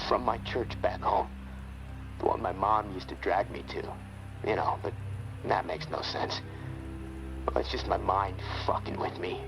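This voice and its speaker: An actor playing a guilt-haunted, weary American soldier in a video game, halting and foul-mouthed as he confides. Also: low-pitched, creaky, rough recording. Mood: haunted, guilty. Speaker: survivor confiding in a friend over radio. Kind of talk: dramatic video game acting.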